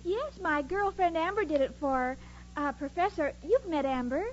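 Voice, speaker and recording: A woman's voice: extremely high pitch, lively intonation, moderate pace. An actress playing a sweet, naive, dim-witted young woman with earnest, guileless delivery in a 1940s radio comedy. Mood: sweetly naive. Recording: muffled noisy old radio recording, studio audience.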